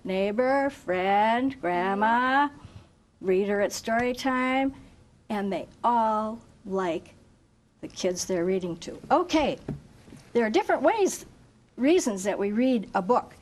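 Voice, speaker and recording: A woman with a slightly high voice reads a picture book to young children. She takes her time, falling into an expressive sing-song chant and drawing the listeners in as a teacher would. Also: slow, slight background noise. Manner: asks listeners questions like a teacher. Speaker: volunteer story reader for children.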